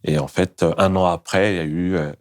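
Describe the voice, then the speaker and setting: A man, a French film director, chatting casually in an interview, emphatic and relaxed, often answering with quick affirmations. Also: low-pitched, no echo, studio quality. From France.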